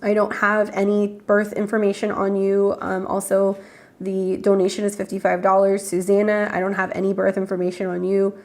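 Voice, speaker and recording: A woman's voice: medium pitch, monotone, moderate pace, darkish tone. A woman with a head cold and a congested voice, chatting casually as an astrologer hosting live readings and greeting viewers by name. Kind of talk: casual livestream chat.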